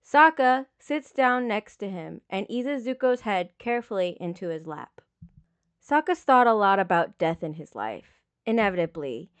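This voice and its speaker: A woman reading dramatic fiction as a scripted narration, with measured, solemn phrasing. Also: mid-range voice, very animated, clear, resonant tone. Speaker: audiobook reader of fan fiction.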